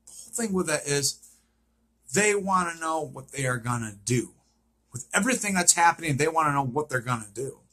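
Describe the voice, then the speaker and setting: A man's voice: on the high side, expressive, fairly quick, pushed and bright. A man giving an unscripted, casual recap of a TV series, repeating himself and often checking in with a questioning okay. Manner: repetitive, checks in with frequent "okay?".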